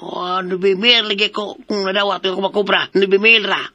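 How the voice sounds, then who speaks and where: A man's voice: sits high, steady tone, moderate pace, pushed and bright, dull audio. A man acting a cheeky, wheedling underling in a comedic radio drama, hesitant and drawing out his pleas.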